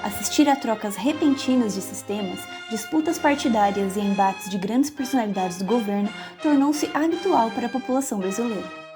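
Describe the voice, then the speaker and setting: A woman's voice: fairly high pitch, fairly quick. A woman narrating an explanatory documentary in a formal, scripted way. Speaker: narrator and street interviewer. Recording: voice-over with music behind.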